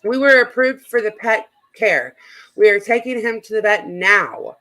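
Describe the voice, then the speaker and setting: A woman's voice: mid-range voice, animated, unhurried, pushed and bright. A woman hosting a casual online chat, talking through gossip in an informal, conversational way.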